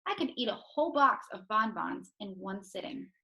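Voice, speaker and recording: Man putting on an airy, high-voiced ditzy lady teacher. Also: lively intonation, normal speed, vocal fry, sharp tone, full character voice, rough recording. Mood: dreamy, savoring. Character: ditzy, easygoing woman schoolteacher who hates school. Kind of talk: children's storybook read-aloud.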